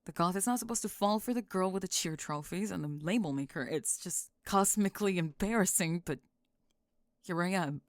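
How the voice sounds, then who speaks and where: A woman acting a guarded young goth in an intimate audio roleplay, speaking in a halting, dry, understated way with tenderness underneath. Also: on the low side, dry recording, lightly acted. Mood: vulnerable, confessional.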